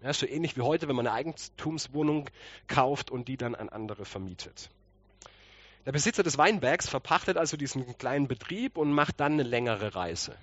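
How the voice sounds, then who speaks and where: A man's voice: mid-range voice, lively intonation, moderate pace, a bit bright, no echo, highs cut off. A man with a slight cold, preaching conversationally and explaining with rhetorical questions. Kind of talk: conversational sermon.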